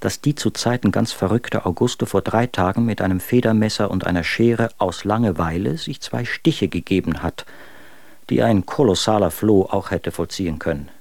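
Embittered husband for literary radio drama: low register, scornful, very dry recording. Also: male voice, darkish tone, dry recording. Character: bitter, contemptuous husband writing letters about his wife. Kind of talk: dramatic reading of old letters in a radio play.

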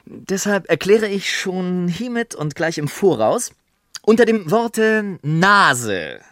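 Radio play casting: witty, pompous gentleman narrator, theatrical and rhetorical, unhurried, very dry close sound. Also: sits high, very animated, dry recording, heavily acted. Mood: emphatic, mock-solemn. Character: witty, pompous gentleman narrator telling his life story.

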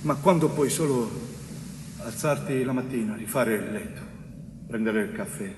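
An actor playing a weary, bitter older Sicilian prisoner in a theatre monologue, subdued and listing things repetitively. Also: male voice, mid-range voice, normal speed, background noise, lightly acted. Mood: weary, resigned. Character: older imprisoned Giuseppe, weary, bitter convict remembering. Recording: echoey hall, long reverb, music behind.

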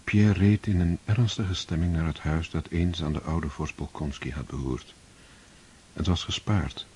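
A man narrating a radio drama in a slow, grave, measured way. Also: deep voice, dark tone, slight background noise.

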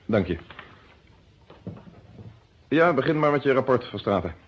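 A man acting a composed, principled police inspector in an old radio drama, speaking in a measured, courteous and authoritative way. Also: mid-range voice, lively intonation, noisy background, close to an everyday voice. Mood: pensive, businesslike. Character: composed, principled male police inspector, friendly but firm. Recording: dull, band-limited old radio recording.

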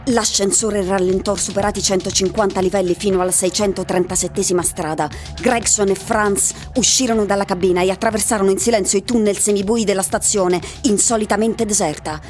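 An actress narrating a bleak futuristic story for a radio drama, in a measured literary style, with music behind her. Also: mid-range voice, steady tone, a little mellow, natural voice. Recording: music bed under the voice.

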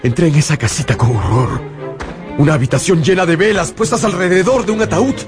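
A man's voice: fairly high pitch, fairly quick, close to an everyday voice. An actor playing an anguished, haunted man who recounts his ordeal in a theatrical, fearful radio drama narration. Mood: grave, dread. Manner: theatrical, fearful, repeats words for emphasis. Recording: studio radio drama with music bed.

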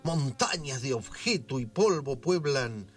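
A man narrating a scripted radio drama as a measured, theatrical storyteller. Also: mid-range voice, lively intonation, faint music behind. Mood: storytelling.

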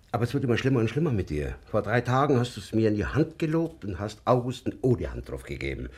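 A man's voice: lowish voice, a little mellow, slightly rough. An actor playing a stern, pious old father in a radio play, exasperated and reproachful. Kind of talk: naturalistic radio play acting.

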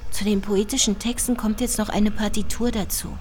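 A woman's voice: mid-range voice, steady tone, fairly quick, darkish tone, slight background noise, lightly acted. An actress playing a composed, analytical female investigator who explains her findings in a German radio play. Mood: composed, explanatory. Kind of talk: German radio play acting, scripted narration.

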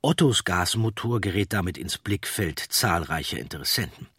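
A man's voice: sits low, animated, moderate pace, fairly bright tone, breathy edge, dry recording, clean studio sound. A man reading a scripted documentary narration as an audiobook narrator.

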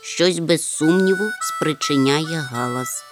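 A woman voicing a fussy, slow-witted male insect-collecting creature in a storybook, with a muttering, pedantic manner. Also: fairly low pitch, expressive, music in the background, close to an everyday voice. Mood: puzzled muttering.

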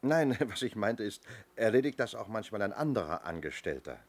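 An actor playing a businesslike police inspector in a 1970s German radio crime play, asking clipped, matter-of-fact questions. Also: male voice, fairly low pitch, sing-song, moderate pace. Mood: probing, calm.

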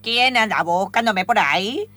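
A woman's voice: mid-range voice, lively intonation, a little slow, sharp tone, no echo. A woman playing a theatrical witch who chants recipes and spells in improvised radio theatre. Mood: eager, scheming. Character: old cackling witch brewing potions, chanting spells.

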